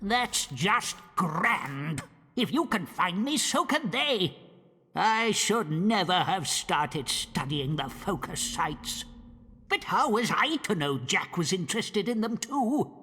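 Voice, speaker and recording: A nervous, bad-tempered scholar from a fantasy game. His husky voice swings up and down as he grumbles sarcastically, ringing in a long reverb. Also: high voice, big pitch swings, takes it slowly, sharp tone, full character voice. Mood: bitter, worried. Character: fearful, irritable scholar in hiding, male archaeologist. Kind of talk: video game character dialogue.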